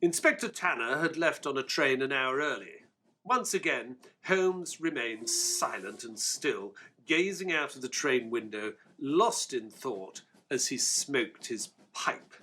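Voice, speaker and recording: A man playing an earnest, excitable Victorian gentleman, a detective's companion and narrator, in an audio drama. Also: medium pitch, unhurried, breathy edge, full character voice. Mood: grave, narrating.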